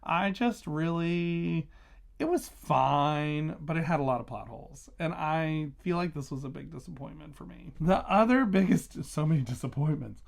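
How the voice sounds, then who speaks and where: A man's voice: fairly high pitch, lively intonation, moderate pace, vocal fry. A man hosting a casual book review vlog, talking conversationally and emphatically, stressing and repeating key words.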